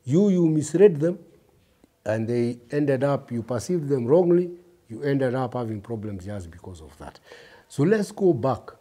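The husky, mellow voice of a Kenyan man in his fifties, the guest on a testimony show who leans in to tell his life story and press each point home. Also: medium pitch, sing-song, measured pace. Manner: reflective, emphatic, addresses listeners directly. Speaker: interview guest. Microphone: lapel mic.

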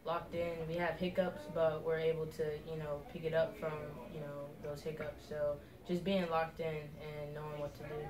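A young woman college basketball player answering quietly and softly in a post-game interview. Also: sits low, little pitch movement, moderate pace, hardly any pauses. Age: young adult. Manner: quiet, soft-spoken answers. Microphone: far camera. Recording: quiet voice far from mic in echoey room with crowd.

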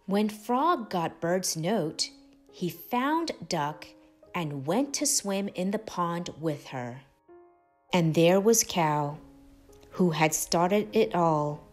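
Slow, animated woman reading a storybook. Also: lowish voice, soft background music. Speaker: storybook narrator, host of read-aloud channel. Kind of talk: expressive children's read-aloud.

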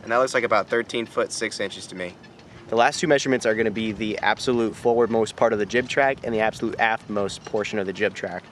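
A man's voice: fairly low pitch, fast talker, fairly bright tone. A young man casually explaining sail measurements on his boat outdoors in a boatyard, talking into a handheld camera. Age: young adult. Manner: easygoing, explaining step by step. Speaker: sailing vlog co-host. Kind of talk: casual how-to vlog. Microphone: handheld camera close up. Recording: faint outdoor wind noise.

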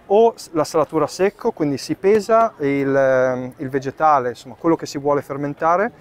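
A man in his thirties, a chef giving a live cooking demonstration under a tent in a park, explaining each step as he works, with fillers and pauses, recorded by a camera at a distance. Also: on the high side. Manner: explanatory, thinking aloud with fillers while working. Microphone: far camera.

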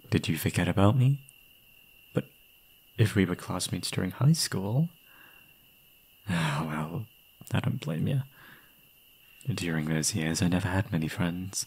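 A young man playing an obsessive, gleeful yandere kidnapper in an intimate ASMR roleplay, doting on the listener in a breathy voice. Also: sits low, a little mellow, full character voice. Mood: wistful. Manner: doting pet names, unhinged chuckling, swinging into whispers.

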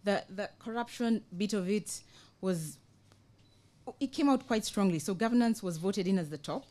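A young woman with a Kenyan accent gives an informal panel presentation as an NGO project officer, explaining in a measured way with hesitant pauses. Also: mid-range voice, warm tone. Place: conference room, indoors, panel table. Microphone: table microphone on a stand.

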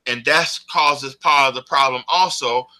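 A man's voice: medium pitch, unhurried, sharp tone. A man giving spoken commentary, explaining in long, built-up points.

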